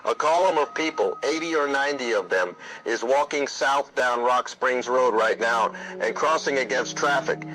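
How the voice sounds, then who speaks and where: A man's voice: mid-range voice, normal speed, pushed and bright, soft background music, lightly acted, low-quality recording. A man playing an alert patrol officer radioing dispatch in a horror audio drama, clipped and procedural. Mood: tense focus. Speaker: police officer.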